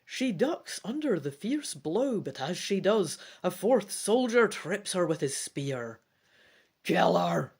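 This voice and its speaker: A woman's voice: medium pitch, slow, hardly any pauses, husky. A woman reading a gamebook aloud dramatically and expressively, with casual commentary as she plays. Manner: theatrical, expressive. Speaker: gamebook reader and player.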